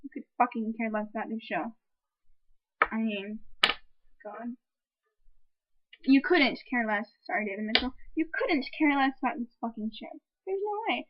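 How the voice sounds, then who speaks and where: A young woman in her twenties with a high voice, reviewing a movie in an exasperated, rambling rant full of swearing. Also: expressive, moderate pace, pauses often, highs cut off, background noise. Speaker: film reviewer. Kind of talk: ranting casual movie review. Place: room at home with a piano.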